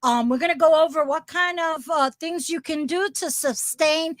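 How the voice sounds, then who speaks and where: A woman's voice: high voice, dry recording, clean studio sound. A woman with a New Jersey accent, co-hosting a casual livestream chat over a video call, talkative and emotional. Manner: talkative, emotional, quick restarts. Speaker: co-host of an RV living livestream.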